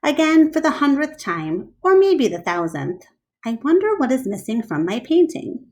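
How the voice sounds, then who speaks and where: A woman reading a storybook aloud expressively, like a teacher, pausing to explain things to listeners. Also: sits high, very animated, a little mellow.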